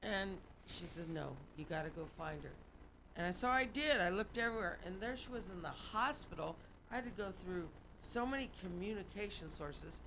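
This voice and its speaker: A woman's voice: fairly low pitch, moderate pace. Emphatic middle-aged woman, noisy recording. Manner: animated, emphatic, quotes voices in her stories. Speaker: guest. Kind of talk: casual storytelling.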